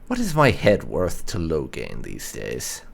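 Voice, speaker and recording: A man's voice: extremely low pitch, wildly swooping pitch, measured pace, creaky, a little hiss or hum. A man role-playing aloud as he plays a video game, improvising dry, sceptical replies with muttered hums. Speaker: gaming channel player role-playing aloud. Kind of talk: improvised in-character game roleplay commentary.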